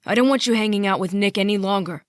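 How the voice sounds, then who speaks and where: A woman's voice: mid-range voice, fast talker, no echo, clean studio sound, natural voice. A woman voicing a bitter, stern middle-aged father who speaks in a hard, clipped, raised voice.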